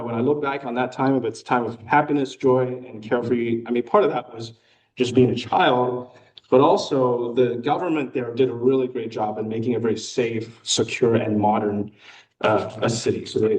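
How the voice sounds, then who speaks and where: A young American man giving a casual personal talk with slides to a club meeting, relaxed and chatty with frequent fillers. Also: mid-range voice, far from the mic, rough recording. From United States. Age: young adult. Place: meeting room.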